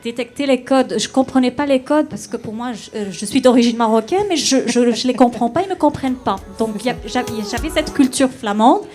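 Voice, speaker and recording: A woman's voice: a little high, a little fast, hardly any pauses, darkish tone, soft background music. A woman from Belgium, a consultancy founder, telling her story candidly with self-mocking humour. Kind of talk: spontaneous testimony.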